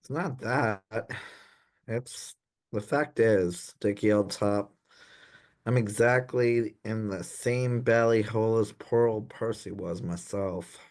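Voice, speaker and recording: An actor playing an anxious, dim young gentleman in a comic period radio play, dithering and apologetic in posh old-fashioned slang. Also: fairly low pitch, slow. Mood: pained. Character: anxious, dim young upper-class Edwardian gentleman in trouble.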